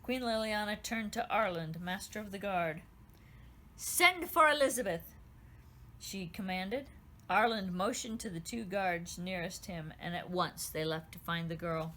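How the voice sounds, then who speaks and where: A woman reading her own children's story aloud in an expressive, lively storytelling manner. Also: lowish voice, moderate pace, slight background noise.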